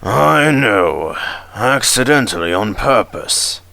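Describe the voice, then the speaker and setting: Man putting on a gravelly, imperious railway boss. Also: lowish voice, very animated, pushed and bright, no room sound, exaggerated voice. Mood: grim, suspicious. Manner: commanding, slow and emphatic. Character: stern, imperious male railway controller, exasperated boss.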